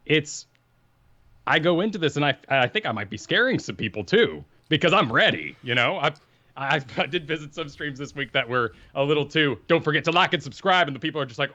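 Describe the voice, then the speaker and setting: A man co-hosting a gaming podcast, talking energetically and assertively in a deep voice into a studio microphone. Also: animated, a little fast, pushed and bright, dry recording, dull audio. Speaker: podcast co-host. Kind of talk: casual gaming podcast talk.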